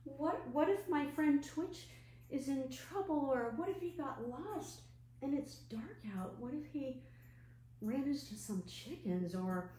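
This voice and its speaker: A woman's voice: high-pitched, a little mellow. A woman storyteller voicing a small, timid male rabbit who worries but tries to be brave, hesitant and earnest. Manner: hesitant, earnest, childlike worrying. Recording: roomy echo, clear background noise and hum.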